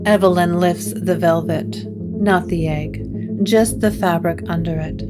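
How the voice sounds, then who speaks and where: A woman narrates a gothic audio drama with a solemn, atmospheric delivery. Her pace is slow and measured, her pitch a little low. Music plays clearly behind her. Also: steady tone.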